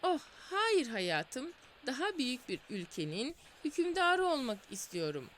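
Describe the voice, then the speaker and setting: A woman's voice: sits high, very animated, measured pace, fairly bright tone, a little hiss or hum, pitched above the performer's own voice, full character voice. A grasping, never-satisfied wife from a storybook tale, as a woman narrator puts her on. She nags and demands in a raised, sing-song voice that leaps up and down. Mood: demanding. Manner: complaining, bossy. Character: greedy demanding fisherman's wife.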